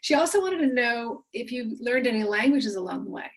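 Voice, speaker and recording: A woman's voice: a little high, expressive, fairly quick. A woman hosting an author event, passing on audience questions with small hesitations. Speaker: event host.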